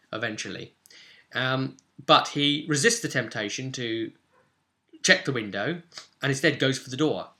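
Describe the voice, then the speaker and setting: A man recapping a tabletop roleplay session as game master, telling it informally with dramatic emphasis and suspenseful pauses. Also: mid-range voice, sharp tone.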